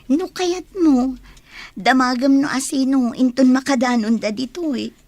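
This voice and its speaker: Woman acting a teasing, higher-voiced village friend. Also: a little slow, darkish tone. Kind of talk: Ilocano radio drama acting.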